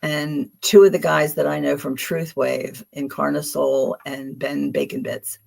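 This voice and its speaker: A woman's voice: low-pitched, little pitch movement, measured pace, vocal fry, darkish tone. A woman with a Philadelphia accent giving chatty, affectionate tarot card readings on a livestream, with frequent ums and restarts.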